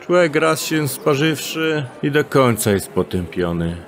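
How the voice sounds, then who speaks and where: An actor playing a cocky male thief in an audio drama, who talks in an odd, old-fashioned way over a clearly heard street ambience. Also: medium pitch, sing-song, a little slow, slight background noise.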